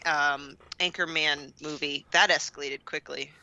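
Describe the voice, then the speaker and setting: A woman co-hosting a comedy podcast, chatting casually in a playful, animated way. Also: deep voice, a little slow, rough recording. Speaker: podcast co-host.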